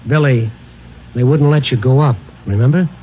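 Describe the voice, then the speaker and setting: An actor playing a tough but compassionate newspaper reporter in an old-time radio drama, with clipped, wry delivery. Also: male voice, medium pitch, moderate pace, a little hiss or hum, natural voice. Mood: gentle, worried. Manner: clipped, sardonic, hard-boiled. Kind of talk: old-time radio drama acting with first-person narration. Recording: old muffled radio recording with music.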